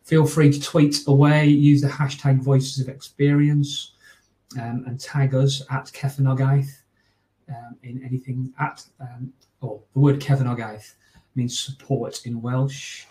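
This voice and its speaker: Northern English male, 40s, webinar host, warm low-key timbre, casual rambling delivery over a home webcam. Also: little pitch movement, unhurried, rough recording. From north of England. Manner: chatty and informal, with many fillers and restarts. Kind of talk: closing remarks of a training webinar. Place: small room at home. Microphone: video call webcam.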